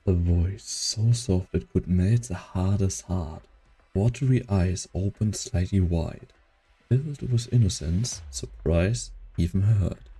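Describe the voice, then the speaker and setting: A man performing scripted dramatic narration as a brooding storyteller, with a gravelly, husky voice. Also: low voice, takes it slowly, warm tone, exaggerated voice, poor audio. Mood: brooding, measured.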